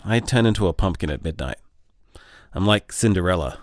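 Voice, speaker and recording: A man playing a gentle, bashful, overthinking young boyfriend in a casual audio drama, mild and hesitant. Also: low voice, expressive, fairly quick, no echo. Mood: wry, playful. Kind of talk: audio drama voicemail monologue, casual and conversational.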